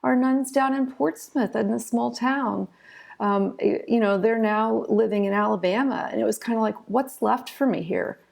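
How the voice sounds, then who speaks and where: A woman's voice: fairly high pitch, moderate pace, vocal fry, darkish tone. A middle-aged American woman answering interview questions in a chatty, informal way, telling stories as she explains. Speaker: interview guest, children's author and illustrator. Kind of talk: conversational interview answers, storytelling.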